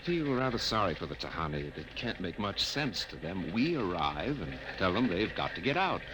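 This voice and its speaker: A hesitant, conscientious scientist. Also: male voice, low voice, sing-song, normal speed. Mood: uneasy. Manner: hesitant, stammering. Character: conscientious middle-aged scientist, uneasy and humane. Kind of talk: 1950s radio drama acting. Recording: dull old radio broadcast with noise.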